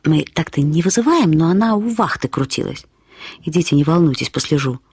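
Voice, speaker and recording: A woman's voice: deep voice, animated, fairly quick, darkish tone, faint music behind. A woman voicing a nosy, gossipy female dormitory door attendant who confides in colloquial speech, in an audio drama. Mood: gossipy, confiding.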